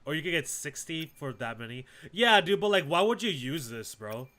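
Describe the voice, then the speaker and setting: A man's voice: on the high side, sing-song, moderate pace, bright, forceful tone. A man livestreaming a game, chatting casually with viewers in slangy, repetitive, think-aloud commentary. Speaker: game streamer. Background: game music.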